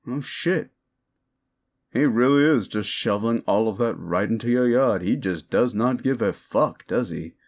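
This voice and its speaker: A man playing a sly, mocking monster demon in an audio roleplay, with a theatrical, drawn-out, taunting delivery. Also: on the low side, expressive. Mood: amused, incredulous. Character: sly, mocking classic monster demon, male, summoned to grant wishes. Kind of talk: scripted audio roleplay, ASMR.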